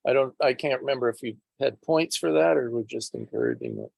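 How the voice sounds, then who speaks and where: A man with a gravelly voice asking and commenting informally on a conference call. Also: mid-range voice, huge pitch swings, a little fast, a little mellow, dry recording. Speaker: meeting participant. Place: remote teleconference.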